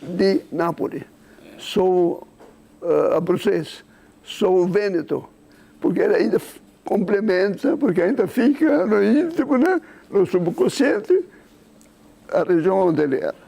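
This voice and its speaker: High-pitched, gravelly man. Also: animated, unhurried, warm tone. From Italy. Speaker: interview guest. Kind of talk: conversational explanation of culture and history.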